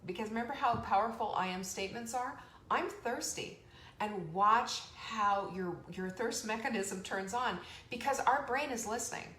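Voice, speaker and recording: A woman hosting a live online motivational show, chatty and energetic, laughing often and reading out viewer comments. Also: a little high, moderate pace. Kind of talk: motivational live-stream talk. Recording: echoey room with faint background noise.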